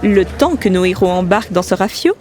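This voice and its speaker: A woman's voice: medium pitch, lively intonation, fairly quick, darkish tone, faint music behind, a faint crowd, natural voice. A woman as the wry, theatrical narrator of a comic audio drama, teasing about the heroes in scripted storytelling.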